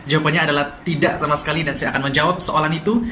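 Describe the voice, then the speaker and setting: A man from Sabah with a Sabah Malay accent, explaining language differences in a quick, lively vlog style and mixing in English words. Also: a little high. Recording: echoey room with faint noise.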